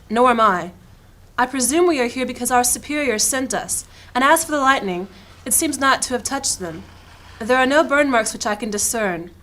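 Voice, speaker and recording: An actress playing a shrewd, haughty high-ranking female mage in a fantasy audio drama, speaking formally and with probing skepticism. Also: fairly bright tone, close to an everyday voice.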